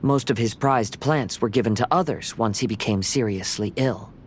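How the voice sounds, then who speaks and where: A man playing a gentle, thoughtful young swordsman in a video game, speaking in a measured, courteous way. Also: medium pitch, slight background noise, lightly acted. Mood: reflective.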